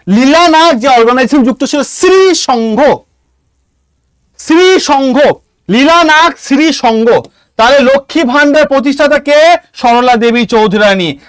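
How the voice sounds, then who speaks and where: High-pitched man, Indian Bengali accent, clean smooth voice, dry close sound, coaching lecturer, emphatic, repetitive. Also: a bit bright, no echo. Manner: emphatic, repeats key phrases and questions; checks in with students often. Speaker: history teacher for exam preparation. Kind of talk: lively exam coaching lecture.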